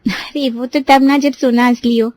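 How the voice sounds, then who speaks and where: A woman doing a high, pleading young girl. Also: moderate pace, close to an everyday voice. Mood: nervous pleading. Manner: wheedling, pleading. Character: young sister-in-law, chatty and nervous.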